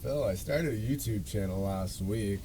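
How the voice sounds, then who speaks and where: A man's voice: lowish voice, moderate pace, background noise. A man hosting a podcast, telling a story in a casual, rambling, self-deprecating way with loose swearing. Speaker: podcast and show host.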